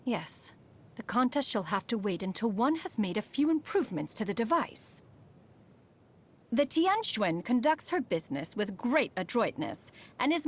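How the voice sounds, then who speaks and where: A woman voicing a proud, refined, aloof ancient immortal in a video game, speaking formally and loftily. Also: fairly high pitch. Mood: proud, amused. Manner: formal, haughty, calls herself "one". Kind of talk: scripted video game character voice lines. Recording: music behind the voice.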